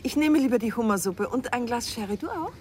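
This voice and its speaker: Actress as a lively, high-voiced middle-aged bride. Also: female voice, fast talker, a little hiss or hum, close to an everyday voice. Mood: nostalgic, playful. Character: elegant, affectionate middle-aged bride, teasing and effusive. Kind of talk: 1990s German radio play acting.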